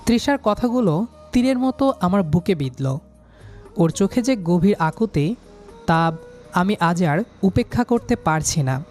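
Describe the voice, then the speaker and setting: A man's voice: high-pitched, lively intonation, measured pace, a little mellow, soft background music. Young man narrating a romantic story. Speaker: storyteller, lead character. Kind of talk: scripted first-person romantic story narration.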